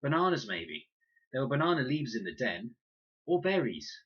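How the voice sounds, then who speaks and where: A man's voice: medium pitch, very animated, normal speed, fairly bright tone, husky, muffled recording, pitched above the performer's own voice. A man voicing an earnest, uneasy boy adventurer in a storybook, tentative as he reasons.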